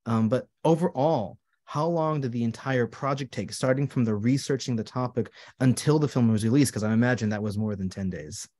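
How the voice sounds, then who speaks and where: A man's voice: mid-range voice, fairly quick, few pauses, darkish tone. A man, a rabbi, moderating a post-film Q&A and welcoming guests with repeated phrases.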